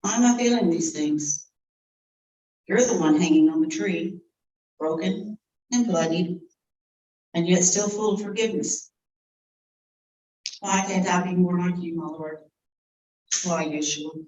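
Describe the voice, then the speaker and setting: A woman performing a live dramatic monologue as a grieving woman disciple at the crucifixion, speaking in an anguished, pleading, halting way. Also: fairly low pitch, a little mellow, slight background noise, lightly acted. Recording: echoey room, distant mic.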